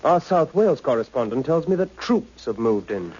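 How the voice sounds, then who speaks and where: A man's voice: mid-range voice, sing-song, normal speed, a little mellow, breathy edge. A man playing a keen, blunt newsman in an old radio science fiction drama, with punchy, informal, urgent delivery. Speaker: supporting actor in radio serial. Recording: muffled, dull old radio recording.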